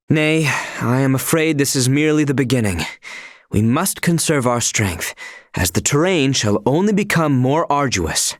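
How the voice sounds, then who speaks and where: Young knight, courtly and formal, lively pitch, dry studio recording, game voice line. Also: male voice, dry recording. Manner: formal, courtly and archaic speech. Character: formal, dutiful young male knight escort. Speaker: voice actor. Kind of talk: video game voice lines. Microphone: close studio mic.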